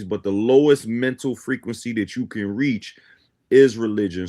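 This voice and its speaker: A man from Guyana who grew up in Brooklyn, explaining his ideas in a didactic way as a talk show guest. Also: fairly low pitch. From born in Guyana, grew up in Brooklyn, New York. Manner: didactic, often checks in with "right?". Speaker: guest, teacher of a belief system.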